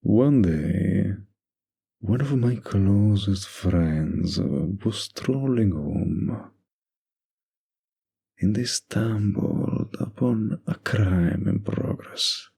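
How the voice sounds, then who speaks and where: A man acts out a smooth-talking mafia boss in an ASMR roleplay. His voice is deep and very raspy, with gravelly fry at the edges. He teases and threatens in the same unhurried, dominant, flirty drawl. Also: expressive, warm tone, exaggerated voice. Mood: measured, formal.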